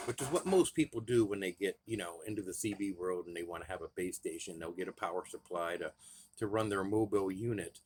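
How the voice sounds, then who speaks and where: A man with a somewhat low voice talks in an easygoing, unscripted way. He thinks aloud as he works, like a hobbyist showing his bench project to viewers. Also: noisy background. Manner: relaxed and chatty, thinking aloud while tinkering. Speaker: radio hobbyist vlogger. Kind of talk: casual how-to vlog.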